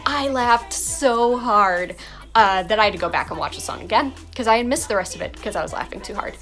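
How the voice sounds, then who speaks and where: A woman's voice: mid-range voice, animated, fairly quick, fairly bright tone, soft background music. A woman fan talking enthusiastically about a favorite show, laughing often. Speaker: fan sharing testimony. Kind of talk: casual fan testimonial.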